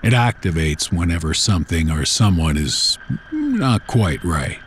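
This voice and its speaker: A man tells a first-person horror story in a casual, folksy, unhurried, conversational way, like someone recounting his own memories. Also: deep voice, very animated, slight background noise. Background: background music.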